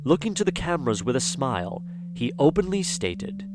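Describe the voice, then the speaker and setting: Animated, theatrical male narrator. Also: mid-range voice, moderate pace, background noise. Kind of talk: theatrical scripted storytelling narration.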